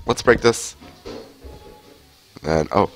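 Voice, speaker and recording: Deep-voiced young man with a cold. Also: expressive, drawn-out words, faint music behind. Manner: rambling, chatty, many fillers. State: has a cold. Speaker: streamer. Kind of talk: casual gameplay commentary. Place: home room, indoors. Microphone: headset mic.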